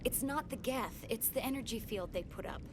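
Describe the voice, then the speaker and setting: An actress playing an anxious, earnest young research assistant in a video game, hesitant and worried. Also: female voice, a little high, moderate pace, background noise, lightly acted. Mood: uneasy.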